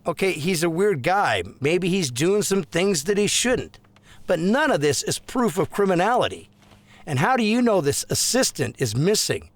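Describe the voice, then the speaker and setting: A man playing a gruff, scornful cop dad. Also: sits high, very animated, moderate pace, no echo. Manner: dismissive, combative. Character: gruff hungover middle-aged cop father. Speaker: police officer and father.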